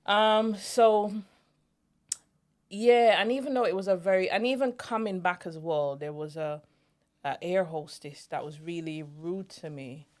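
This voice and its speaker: A woman's voice: lowish voice, measured pace. A young adult woman, a podcast co-host, telling a reflective story in casual chat and acting out the voices she remembers.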